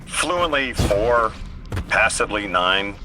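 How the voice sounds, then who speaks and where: A man's voice: lowish voice, lively intonation, slow, noisy background. A man voicing an earnest, anxious translator in a video game, speaking in a hesitant, stammering way. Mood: friendly, reflective. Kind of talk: naturalistic video game voice acting. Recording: music behind the voice. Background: music.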